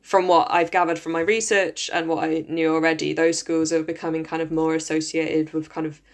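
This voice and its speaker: Low, flat-voiced young Welsh woman. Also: fairly quick. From Wales. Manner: relaxed, lots of ums and likes. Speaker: podcast co-host, PhD researcher. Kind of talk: casual chat.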